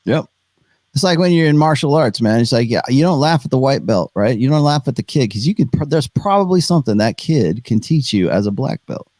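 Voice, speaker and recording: A man's voice: medium pitch, expressive, a little fast, darkish tone, no echo, studio quality. A middle-aged man, an author guest in a casual interview, explaining his ideas confidently and plainly.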